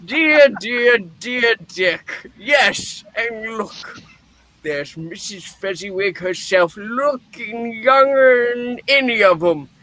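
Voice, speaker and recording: A performer reading the part of a frightened, wistful old miser in a theatrical play reading, with halting, dramatic delivery. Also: medium pitch, expressive, bright, forceful tone, dry recording, rough recording. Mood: nostalgic delight.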